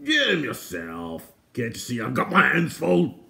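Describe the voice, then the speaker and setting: Man as a gruff, quarrelsome dwarf warrior. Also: medium pitch, moderate pace, dark tone, roomy sound, natural voice. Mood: angry barking. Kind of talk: fantasy storybook character voice.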